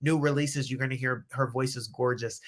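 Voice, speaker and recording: A man's voice: medium pitch, fairly even intonation, fairly quick, a bit bright. An American man hosting a casual interview, effusive and encouraging, often rephrasing his questions as he goes. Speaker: interview host.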